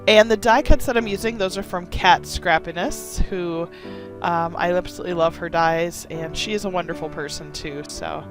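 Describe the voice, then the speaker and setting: A hoarse woman from Wisconsin hosting a casual card-making tutorial, talking with gushing enthusiasm. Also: on the low side, steady tone, moderate pace, music in the background. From Wisconsin, USA. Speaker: craft channel host.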